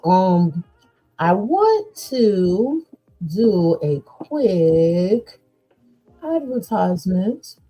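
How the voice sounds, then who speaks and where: A woman hosting a podcast, chatting slowly and loosely with lots of fillers. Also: lowish voice, sing-song, lo-fi sound, faint music behind. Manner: slow, drawn-out, chatty with many fillers. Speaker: podcast host. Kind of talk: casual chat.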